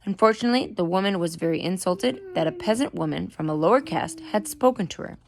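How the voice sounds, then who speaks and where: A woman reading a book aloud in a deliberate storytelling manner, with an occasional stumble over the words. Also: lowish voice, a little mellow. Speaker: reader. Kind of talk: book read-aloud narration.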